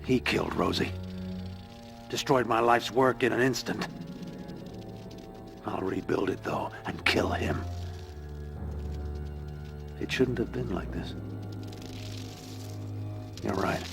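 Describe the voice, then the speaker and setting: An actor playing a grandiose middle-aged scientist turned vengeful villain in a video game cutscene, theatrical and brooding, as if talking to himself. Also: male voice, lowish voice, takes it slowly, creaky, long pauses, music in the background, exaggerated voice.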